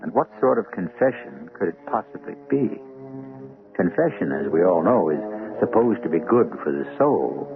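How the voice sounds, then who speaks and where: Man, very deep gravelly voice, lively pitch, theatrical radio narration, tinny band, faint music. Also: moderate pace. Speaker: host narrator of a radio drama.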